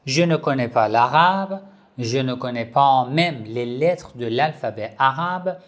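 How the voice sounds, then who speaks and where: Slow, emphatic man teaching online. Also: mid-range voice, expressive. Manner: slow, repetitive, emphatic explaining. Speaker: online Islamic institute teacher. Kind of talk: slow instructional video talk.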